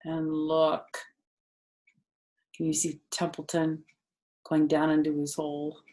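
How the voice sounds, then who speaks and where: Lower-pitched woman reading to children. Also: slow. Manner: expressive, addressing young children. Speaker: storyteller. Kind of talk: children's storybook read-aloud with chatty asides.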